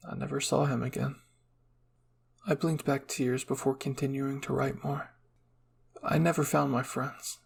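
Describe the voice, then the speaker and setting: A man reading a first-person fan fiction story as soft, measured ASMR narration. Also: medium pitch, vocal fry, a little hiss or hum.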